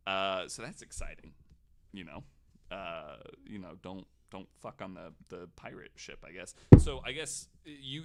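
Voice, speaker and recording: A man's voice: fairly low pitch, fairly bright tone. A man hosting a podcast, casually telling a story from notes with wry, jokey asides.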